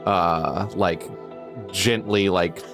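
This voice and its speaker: A man playing a tabletop game, speaking casually and haltingly. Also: low-pitched, steady tone, gravelly, music in the background.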